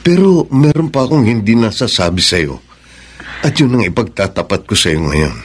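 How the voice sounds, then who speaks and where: A Filipino actor with a husky voice playing an earnest, devoted lover in a romantic radio drama. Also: male voice, on the low side, animated, moderate pace. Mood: remorseful confession. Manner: earnest, pleading. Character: earnest, devoted male lover explaining himself.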